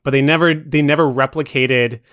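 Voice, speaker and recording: A man's voice: medium pitch, fairly even intonation, fairly quick, fairly bright tone, no echo. Male podcast commentator, mid-range voice, close dry sound, casual conversational film talk.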